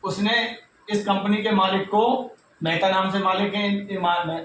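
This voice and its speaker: Echoey high-pitched North Indian man explaining. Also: fairly even intonation, a little slow, fairly bright tone. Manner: repetitive, addresses listeners directly. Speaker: YouTube exam news presenter. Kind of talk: informal explanatory update to students. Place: reverberant indoor room. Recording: very echoey room, distant mic, faint noise.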